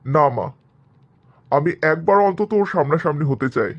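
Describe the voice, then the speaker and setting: A man playing a lovesick, quietly determined young son in a cartoon family drama, earnest and subdued. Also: a little high, animated, moderate pace, dull audio. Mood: earnest, troubled.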